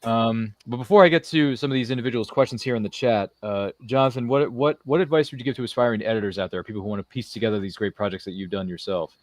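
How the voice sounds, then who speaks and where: A man's voice: mid-range voice, quick pace, hardly any pauses, no room sound, studio quality. A man hosting a podcast interview in a casual, jokey, rambling way, often repeating his phrases. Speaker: podcast host. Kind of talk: casual live interview chat.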